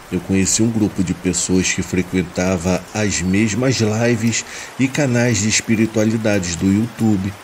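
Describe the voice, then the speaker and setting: Low-voiced Brazilian man narrating over rain. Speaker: narrator of listener-submitted horror stories. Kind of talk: scripted first-person story narration. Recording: steady rain noise behind the voice. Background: rain ambience.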